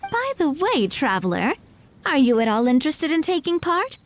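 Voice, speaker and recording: A gracious young company president for a video game. Her voice is high and melodic, her manner polite, composed and lightly teasing. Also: big pitch swings, normal speed, a little hiss or hum. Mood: businesslike. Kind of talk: animated video game acting.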